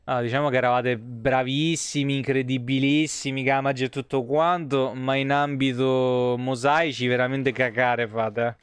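A man's voice: mid-range voice, level tone, normal speed, hardly any pauses, clean tone, no room sound. A man, giving blunt, wry, sweary live commentary as he streams a video game. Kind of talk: casual live gameplay commentary.

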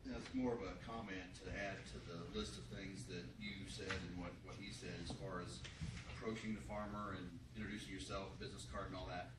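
A man with a very deep, flat voice talks quickly and gives brisk advice from the audience. He sounds far off in an echoey room, with clear background noise. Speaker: workshop attendee. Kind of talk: comment from the audience.

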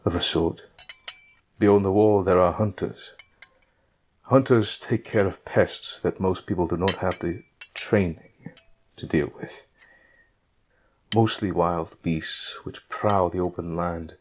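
A man playing a patient, gentle older king in an audio drama, with deliberate, formal diction. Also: low voice, steady tone, a little hiss or hum. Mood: fond, thoughtful.

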